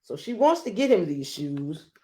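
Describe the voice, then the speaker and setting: A woman hosting a children's storybook read-aloud, reading expressively with dramatic emphasis and deliberate pauses. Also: on the low side, very animated, warm tone.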